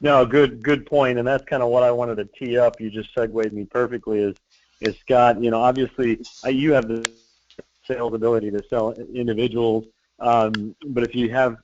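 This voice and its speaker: A man's voice: mid-range voice, fairly even intonation, normal speed, muffled recording, a little hiss or hum. A man leading a sales team meeting, talking loosely and encouragingly with many fillers and restarts. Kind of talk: casual business meeting talk.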